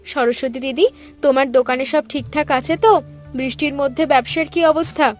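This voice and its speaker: Lady doing a young cartoon daughter-in-law, high voice, polite and curious, soft music behind. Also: steady tone, a little fast, natural voice. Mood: concerned. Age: young adult. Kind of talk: animated folk-tale cartoon dialogue.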